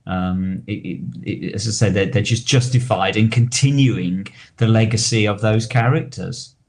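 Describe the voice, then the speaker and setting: A man co-hosting a podcast in casual discussion, talking wordily and haltingly as he repeats and rephrases himself. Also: on the low side. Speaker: podcast co-host.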